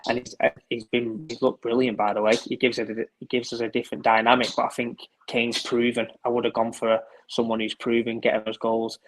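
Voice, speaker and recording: Male pundit, monotone, halting casual chat. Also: mid-range voice, rough recording. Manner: hesitant, repeats words. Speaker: guest pundit.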